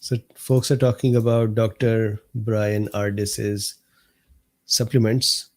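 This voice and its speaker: A man with a mellow, slightly hoarse voice hosts a live stream from his desk mic. He reads viewer comments aloud in an unhurried, easygoing way. He laughs often and answers viewers by name. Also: lowish voice, little pitch movement. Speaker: host of a live medical talk stream. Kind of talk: casual livestream chat reading viewer comments.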